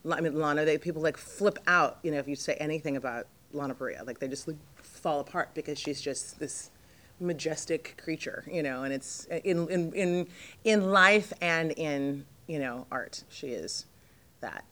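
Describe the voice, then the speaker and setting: A middle-aged woman with a low voice, jet-lagged, chatting in an interview as the guest actress, rambling with lots of fillers and restarts. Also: moderate pace, a bit bright. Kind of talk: casual interview chat.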